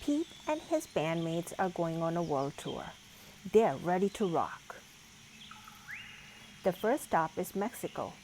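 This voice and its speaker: Low-pitched woman reading a children's story. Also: sing-song, slow pace, pauses often, mellow, a little hiss or hum. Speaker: read-aloud storyteller. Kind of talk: scripted children's picture book narration.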